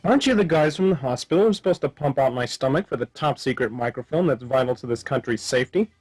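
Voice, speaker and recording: A man's voice: medium pitch, lively intonation, fast, a little mellow, slightly rough, soft background music. An actor playing a dim, literal-minded male detective hero in a comic radio play. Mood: naive, earnest.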